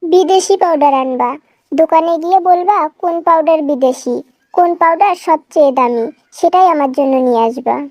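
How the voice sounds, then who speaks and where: A woman's voice: very high-pitched, normal speed, dark tone, no room sound. A woman playing an aggrieved, scolding young wife in a comic cartoon, with a rural Bangladeshi accent. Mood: bossy, suspicious. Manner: scolding, wailing, complaining. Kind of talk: melodramatic comic cartoon dialogue.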